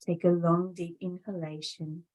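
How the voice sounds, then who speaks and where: A woman leading a guided meditation as a yoga instructor, speaking in a calm, soothing, deliberate way with long pauses between phrases. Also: on the low side, low-quality recording.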